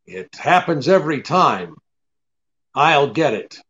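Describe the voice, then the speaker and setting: Guy doing a sardonic american hard-boiled detective, lively pitch swings, old radio play, kinda dull lo-fi sound. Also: slow, a little hiss or hum, exaggerated voice. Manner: sardonic, clipped, tough. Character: cynical, wisecracking hard-boiled male private detective. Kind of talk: 1940s radio drama acting.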